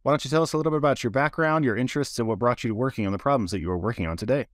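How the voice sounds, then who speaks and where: A man's voice: mid-range voice, rapid-fire, no room sound, clean studio sound. A man hosting a podcast, introducing the episode and his guest in an earnest, explanatory way, often repeating words as he starts a phrase. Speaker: podcast host.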